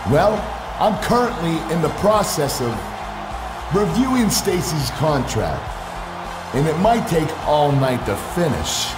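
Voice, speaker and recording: A smug, bossy male wrestling boss with a lively, swooping delivery. His game dialogue echoes over music. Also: fairly high pitch, measured pace. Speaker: wrestling show authority figure. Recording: echoey arena sound with music.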